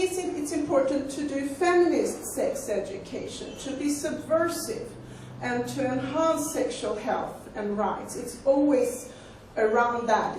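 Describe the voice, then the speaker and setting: A woman's voice: high voice, a little mellow, slight background noise. Swedish-accented woman lecturing in an echoey hall. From Sweden. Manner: conversational, repeats words while searching for them. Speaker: sex educator. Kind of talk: live lecture to an audience. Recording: echoey hall recording from far off.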